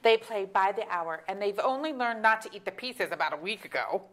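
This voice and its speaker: A woman's voice: mid-range voice, expressive, brisk, fairly bright tone, natural voice. A woman acting an exasperated, candid middle-aged mother in a staged comedy reading, with a wry, emphatic delivery. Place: auditorium stage.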